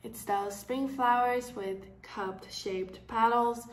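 A woman's voice: fairly high pitch, steady tone, slow pace, far from the mic. Teenage girl from Taiwan in an echoey room. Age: teens. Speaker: student presenter. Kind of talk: school project presentation.

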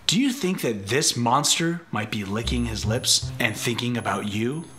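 A man's voice: medium pitch, animated, moderate pace, bright, forceful tone, a little hiss or hum. A man in his thirties reading a picture book aloud with theatrical, playful suspense. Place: living room, on a couch.

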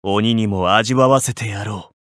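A man's voice: lowish voice, unhurried, fairly bright tone, no echo, clean studio sound. A man voicing a proud, refined sword warrior in an anime game, polished and theatrical. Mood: proud, commanding.

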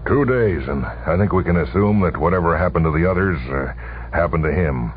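A man's voice: deep voice, animated, husky, voice pushed up. A man voicing a weary, uncertain army general who speaks hesitantly in a radio story. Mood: uncertain, troubled. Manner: hesitant, halting, stammering. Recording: dull lo-fi old radio recording.

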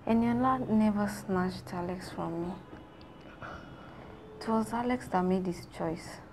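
An actress playing an earnest, reproachful grown daughter in a screen drama. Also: female voice, mid-range voice, measured pace, close to an everyday voice. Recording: clearly noisy ambient background.

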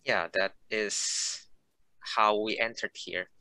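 Young male student explaining haltingly. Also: on the low side, steady tone, sharp tone, no echo, poor audio. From Indonesia. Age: 20s. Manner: halting, searching for words in English. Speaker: international student. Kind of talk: casual explanation on a video call. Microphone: video call.

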